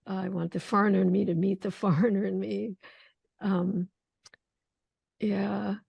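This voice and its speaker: A woman hosting a poetry conversation over a video call, thoughtful and searching, with many pauses and restarts. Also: mid-range voice, level tone, creaky, husky, dry recording, muffled recording. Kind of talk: casual video call discussion.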